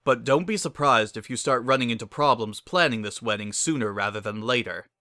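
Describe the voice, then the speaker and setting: A man's voice: medium pitch, fairly quick, sharp tone, no echo, clean studio sound, natural voice. A man voicing a worried, practical male pony baker with a cautioning, exasperated manner.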